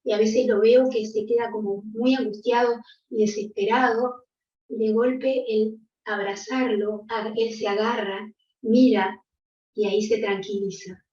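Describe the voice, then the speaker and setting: An older woman speaking reflectively and gently as a panelist on a video call, often ending with a soft "¿no?". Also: fairly high pitch, steady tone, unhurried. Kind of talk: reflective conversational talk. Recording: distant, roomy, dull.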